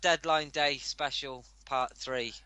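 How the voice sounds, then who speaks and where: Sweary man hosting, very bright and dry. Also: a little high, fairly even intonation, takes it slowly, smooth, no echo. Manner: sweary, grumbling, sarcastic. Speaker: podcast host. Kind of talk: casual football podcast chat.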